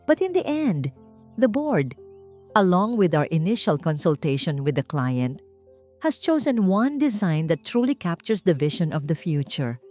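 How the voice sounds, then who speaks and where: A woman's voice: lowish voice, very animated, measured pace, darkish tone. A woman voicing a formal company announcer giving a ceremonious speech in a romance story. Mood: formal, building suspense. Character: formal company announcer addressing assembled staff. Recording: music under the voice.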